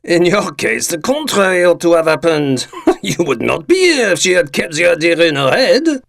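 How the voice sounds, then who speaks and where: An actor as a blustering, pompous village mayor, animated. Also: male voice, on the high side, normal speed, vocal fry, dry recording, studio quality, full character voice. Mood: amused. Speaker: actor in audio drama. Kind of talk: dramatised short story acting.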